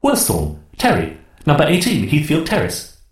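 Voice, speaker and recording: A man's voice: a little high, wildly swooping pitch, moderate pace, reverberant, voice pushed up. A man voicing a benign, newly activated boy android that speaks formally and literally in a children's story. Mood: matter-of-fact. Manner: formal, recites names and addresses.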